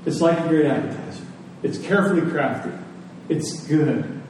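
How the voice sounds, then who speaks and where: A man's voice: a little high, moderate pace, a little mellow. A man preaching a sermon to a congregation, using rhetorical questions and repeated phrases for emphasis. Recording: very echoey room, distant mic, faint noise.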